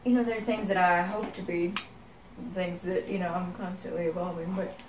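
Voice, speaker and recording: A young Texan woman with a lowish voice speaks in a relaxed, musing way and keeps circling back with "you know" as she thinks out loud. She sits back from the mic in a roomy space with a faint hum of ambience behind her. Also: fairly quick. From Texas. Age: young adult. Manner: reflective, rambling with many "you know"s. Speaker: guest singer-songwriter. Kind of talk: casual interview chat. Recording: roomy, from a distance.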